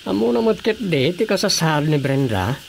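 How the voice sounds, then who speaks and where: An inquisitive village woman with a slightly low voice and an Ilocano accent. She gossips in radio drama comedy style, with faint background noise. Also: big pitch swings, normal speed, gravelly, full character voice. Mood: confiding.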